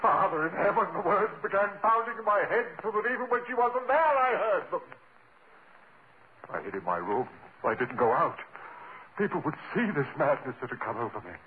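A haunted man confesses his madness in a melodramatic, anguished voice that swings widely in pitch. It is old radio horror acting, heard through a thin, tinny, slightly noisy broadcast. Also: big pitch swings, normal speed. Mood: frantic, terrified. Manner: melodramatic, breathless repetition, anguished. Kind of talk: 1940s radio horror acting, first-person narration.

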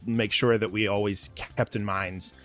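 A man's voice: lowish voice, little pitch movement, measured pace, a bit bright, soft background music. A man, an audio drama writer, giving detailed, earnest explanations as a podcast guest. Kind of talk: explanatory interview talk.

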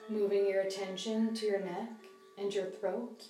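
A woman leading a guided body scan meditation as a mindfulness teacher, speaking gently and leaving long, unhurried pauses. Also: medium pitch, flat delivery. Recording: distant, roomy sound with soft music.

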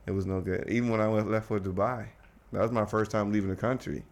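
Deep, flat-voiced man telling his story. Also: fast talker, gravelly. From United States, Washington DC area. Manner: rambling, many restarts and asides. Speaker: guest. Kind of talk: casual conversation.

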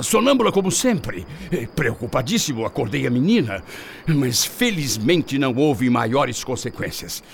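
An actor playing a scheming, indignant middle-aged husband pompously justifying himself in a radio drama. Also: male voice, a little high, creaky, a bit bright, slight background noise, heavily acted. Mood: feigned concern.

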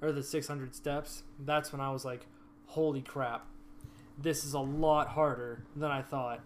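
A man chatting casually about a hike, relaxed and quick to laugh. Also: mid-range voice, slight background noise. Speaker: hiker recounting a trip. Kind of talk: casual chat.

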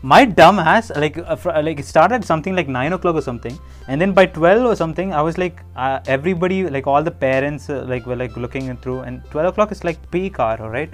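A man's voice: on the high side, brisk, hardly any pauses, faint music behind. A young man chatting casually with a friend, rambling through a story with lots of swearing and laughter.

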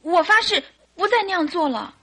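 An actress playing a secretive, imploring young wife in a radio drama, speaking earnestly. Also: female voice, very high voice, expressive, brisk, bright, forceful tone, full character voice. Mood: pleading. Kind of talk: Mandarin radio drama acting.

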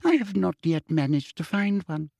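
Male reader playing a smug, sugary female official, raised pitch, soft and prim, audiobook. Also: sing-song, normal speed, slightly rough, no echo, full character voice. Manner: sickly sweet, smug, impatient.

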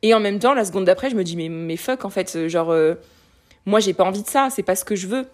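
A woman in her late twenties talking candidly in a casual conversation, lively, with many fillers and restarts. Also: mid-range voice, fairly quick. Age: late 20s.

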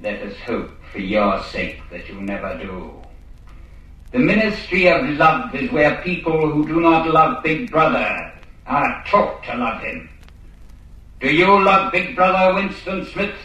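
An actor playing a stern, menacing voice of a totalitarian telescreen in a radio drama, commanding and declamatory. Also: male voice, on the high side, very animated, a little slow, pushed and bright, heavily acted. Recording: echoing, distant old radio drama recording.

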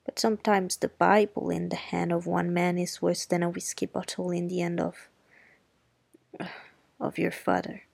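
A woman reading the part of a wry, sharp-tongued middle-aged neighbor, speaking slowly, dryly and grimly. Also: lowish voice, level tone, creaky, husky, dry recording, exaggerated voice. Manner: slow, dry and grim. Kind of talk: audiobook character reading.

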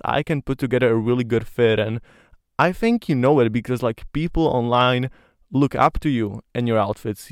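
Smooth-voiced Slovak young man interviewing. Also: mid-range voice, no room sound, clean studio sound. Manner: earnest, many "like" fillers, admiring. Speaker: podcast host. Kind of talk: casual interview.

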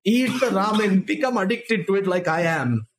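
A man's voice: sits high, animated, normal speed. A young man co-hosting a casual livestream chat, reading viewer comments aloud and teasing his friends, swearing freely. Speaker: podcast co-host reading live chat.

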